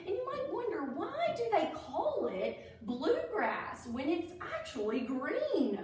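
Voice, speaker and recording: Female children's story reader: high pitch, expressive delivery, heavy room echo. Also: slow pace. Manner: animated, expressive, addressing children. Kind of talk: read-aloud for children with explanatory asides. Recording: echoey room, distant mic.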